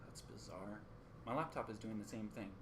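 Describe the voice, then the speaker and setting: A man's voice: on the low side, brisk, natural voice. Man acting earnest radio co-anchor, faint noise behind. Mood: puzzled. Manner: hesitant, questioning. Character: earnest, puzzled male radio news co-anchor. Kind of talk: amateur radio play acting. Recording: faint background noise and ambience.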